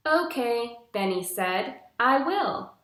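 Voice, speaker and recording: A young woman reads a storybook to children in a teacher's instructive way. Her pitch moves up and down a lot, and she takes her time over each phrase. The sound is echoey, recorded in a room a little way from the microphone. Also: sing-song, slow pace, fairly bright tone. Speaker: teacher reading aloud to pupils. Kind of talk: picture-book read-aloud for young children. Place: small living room, indoors. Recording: roomy indoor echo.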